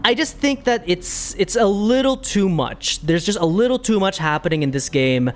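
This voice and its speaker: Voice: mid-range voice, expressive, normal speed. A game streamer chatting casually and thinking aloud while giving opinions to viewers in a conversational way.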